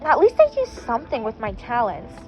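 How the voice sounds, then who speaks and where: A woman's voice: fairly high pitch, wildly swooping pitch, brisk, darkish tone, soft background music, rough recording. A woman reading the snappy lines of a worried, image-conscious teen boy. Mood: annoyed. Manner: snappy, read in the reader's own voice.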